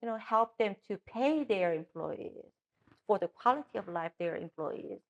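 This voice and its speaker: A woman's voice: medium pitch, lively intonation, moderate pace, gravelly, muffled recording. A middle-aged woman with a Korean accent, a native Korean speaker, answering interview questions as a political candidate with frequent restarts and fillers.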